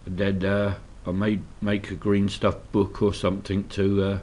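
A man's voice: on the low side, normal speed. A man from Scotland with a slight cold, casually talking through a miniature painting tutorial at his hobby desk, hesitant with many fillers. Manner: relaxed, hesitant, many ums and uhs.

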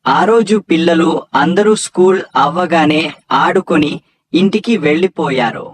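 A man's voice: fairly high pitch, moderate pace, slight background noise. A man narrating a children's story from a script, as a storyteller.

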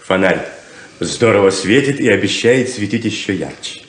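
An actor playing a smooth-talking, self-assured rainmaker con man in a theatrical 1970s Soviet radio play. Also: on the low side, expressive, normal speed, close to an everyday voice. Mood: grand, persuasive. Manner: theatrical, grandly persuasive.

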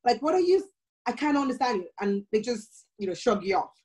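A woman's voice: a little high, sing-song, fairly quick, darkish tone. Nigerian-accented woman telling a story. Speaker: panel guest. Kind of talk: video call panel discussion. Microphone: video call.

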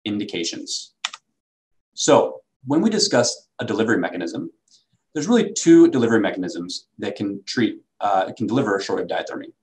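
A man presenting a product as a company sales executive, in a measured, explanatory way. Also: fairly low pitch, rough recording.

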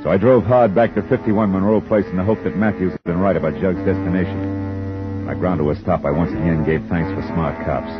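An actor playing a wry, hard-boiled private detective who narrates tersely in a 1950s radio drama, from a dull lo-fi broadcast. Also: male voice, deep voice, a little fast, over loud music, lightly acted.

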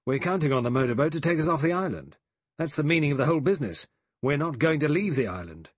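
A man's voice: fairly high pitch, expressive, fast talker, breathy edge, no room sound, pitched above the performer's own voice. A man voicing an abrupt, testy old army general resigned to doom in an audiobook mystery. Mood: testy, sharp. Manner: abrupt, explosive, then resigned.

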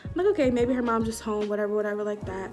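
A woman's voice: medium pitch, racing, faint music behind. Young woman telling a slangy story. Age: young adult. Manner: animated, slangy storytelling with acted-out quotes. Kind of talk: casual storytime vlog. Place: small indoor room before a curtain. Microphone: webcam or phone at arm's length.

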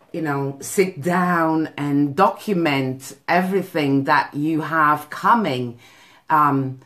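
A woman from Portugal speaks to an online group through a phone held at arm's length in a small office. Her voice sits low and her pace is unhurried. She talks in a chatty way, filling pauses with ums and checking in with "okay?". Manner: chatty, tags points with "okay?", many ums. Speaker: business coach. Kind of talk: live video talk to a group. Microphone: phone camera at arm's length.

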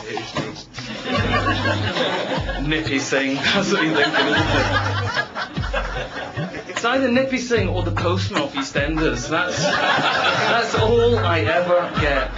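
A Scottish comedian jokes about himself on stage in a high voice with slow, drawn-out delivery. A loud audience and music fill the room. The sound is a little muffled. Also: male voice. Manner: joking, self-deprecating. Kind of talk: stand-up comedy.

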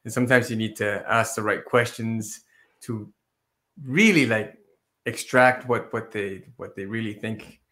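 Man co-hosting, asking halting questions. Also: lowish voice, pushed and bright. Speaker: co-host. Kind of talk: video call interview.

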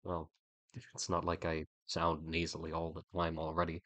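A man's voice: a bass voice, monotone, moderate pace, fairly bright tone, dry recording. A young man with a stuffy, slightly nasal voice, thinking aloud in a rambling, restarting way while he draws on a livestream. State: stuffy nose, a bit nasal. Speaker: artist streaming a creature design session. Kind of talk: casual livestream talk while drawing.

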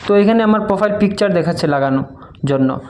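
A man's voice: sits high, expressive, quick pace, darkish tone. A man from gives an informal step-by-step app tutorial, explaining repetitively and mixing in English tech terms. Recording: noisy home-made recording with clicks.

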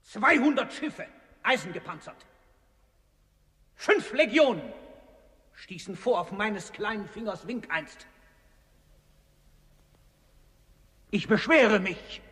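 An actor playing a proud, indignant Roman general in a radio play, declaiming in a pompous, commanding manner. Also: male voice, sits high, expressive, takes it slowly, pauses often, a bit bright, full character voice. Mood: boastful, complaining. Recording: long hollow reverb.

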